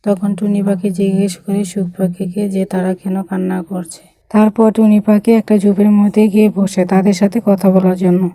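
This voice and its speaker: Female fairytale narrator for children: mellow, even pitch, scripted storytelling. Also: fairly quick, hardly any pauses, no echo.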